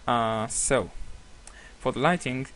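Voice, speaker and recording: A man whose first language is Hebrew, giving a screen-recorded software tutorial with many hesitations and self-corrections. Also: medium pitch, slow, a little hiss or hum, low-quality recording. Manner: apologetic, laughs at himself.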